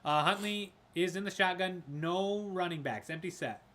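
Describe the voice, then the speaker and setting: A man doing casual live play-by-play of a football game, excitable, his voice raspy from screaming. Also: high voice, moderate pace. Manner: excitable, shouts at big plays. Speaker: gaming streamer doing play-by-play. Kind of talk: casual live sports commentary.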